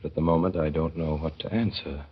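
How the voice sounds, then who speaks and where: Low-voiced male narrator, wry and measured, old radio sound. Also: fairly even intonation, breathy edge. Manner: measured, wry storytelling with deliberate pauses. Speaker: narrator and lead performer. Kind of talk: 1930s radio drama narration. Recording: dull 1930s radio broadcast.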